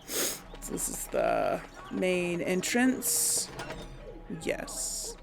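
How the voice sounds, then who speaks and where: Woman, low-ish gravelly voice, casual let's play commentary, hesitant, thinking aloud. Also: huge pitch swings, extremely slow. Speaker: gamer, let's play host. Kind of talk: casual rambling game commentary.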